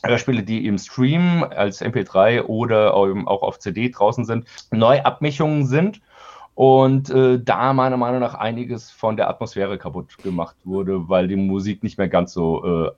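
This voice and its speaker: A man giving halting, opinionated explanations as a guest in a casual interview. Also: on the low side, darkish tone. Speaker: audio drama producer being interviewed. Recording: slightly dull, band-limited audio.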